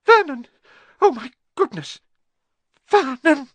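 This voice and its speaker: A timid middle-aged storybook aunt, played by a male narrator, high and quivering. The anxious voice swoops about and is recorded very dry. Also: huge pitch swings, normal speed, slightly rough, no room sound, exaggerated voice. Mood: horrified.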